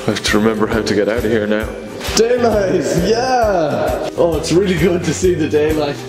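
A man's voice: a little high, big pitch swings, moderate pace, loud music behind the voice. A man in his twenties with an Irish accent, talking to camera in a casual, self-mocking adventure vlog. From Ireland. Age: 20s. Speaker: vlogger.

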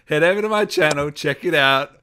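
A man's voice: fairly high pitch, fairly quick, sharp tone, clear, resonant tone. Man hosting a casual gaming interview. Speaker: interviewer, gaming channel host.

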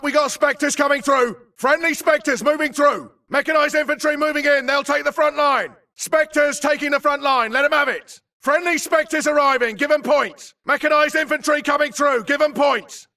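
Video game soldier, very high strained pitch, forceful, clipped urgent combat barks, aggressive. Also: male voice, recorded from a distance, heavily acted. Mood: alert, businesslike. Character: tough male infantry grunt soldier, urgent and aggressive. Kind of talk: military combat callouts, short shouted barks.

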